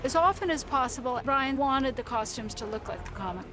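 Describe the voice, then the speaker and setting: A woman's voice: sits high, animated, brisk. A costume designer in a behind-the-scenes interview, explaining her choices in a thoughtful, explanatory way. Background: music.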